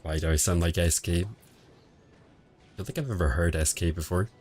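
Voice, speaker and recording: A man streaming casual commentary on a mobile game match, quiet and relaxed, answering chat between calls of the play. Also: fairly quick. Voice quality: quiet, low-level voice. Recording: home stream audio with faint music behind. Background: faint background music.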